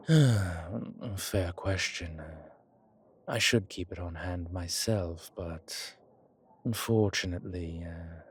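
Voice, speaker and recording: A man plays an ancient, courteous vampire with a deep voice. He speaks slowly and genteelly, pausing as he picks his formal, old-fashioned words. The delivery is intimate and affectionate, as in an audio roleplay addressed to one listener. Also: breathy edge, exaggerated voice. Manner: genteel, measured, hesitant pauses, old-fashioned formal wording.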